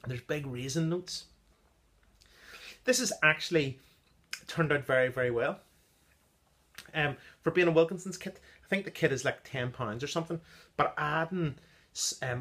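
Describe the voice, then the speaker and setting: A man in his thirties talks through a beer he brewed at home, in a relaxed, halting way with frequent ums. He is recorded indoors in a small room on a camera close in front of him. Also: mid-range voice. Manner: chatty, halting with many ums, tasting notes. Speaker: home-brew beer reviewer. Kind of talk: casual beer review vlog. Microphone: device camera at close range.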